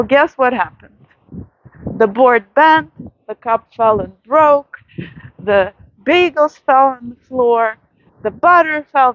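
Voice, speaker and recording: A woman vlogger giving a soft, reflective late-night monologue outdoors in a city, with many pauses and fillers. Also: sits high, lo-fi sound.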